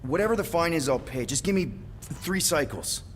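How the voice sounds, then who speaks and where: A man's voice: a little high, animated, brisk, noisy background, close to an everyday voice. An actor playing a glib, wisecracking male space adventurer in a cinematic video game, given to joking and rambling. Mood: glib, bluffing. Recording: music behind the voice.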